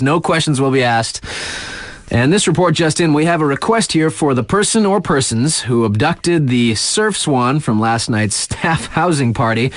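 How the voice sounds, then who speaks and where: A man's voice: medium pitch, dry recording, close to an everyday voice. A man acts out a wisecracking male radio DJ from a video game. His mid-range voice swings freely up and down through breezy, mock-serious local news. The sound is tight and dry, with a casual, wry delivery. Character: laid-back, wisecracking male mountain radio DJ. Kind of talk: breezy radio news bulletin, ad-libbed asides.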